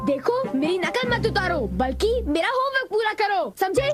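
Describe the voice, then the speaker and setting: A woman voicing a lazy, whiny, excitable young schoolboy in a cartoon dub. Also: extremely high pitch, normal speed. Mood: bossy, exasperated. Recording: music behind the voice.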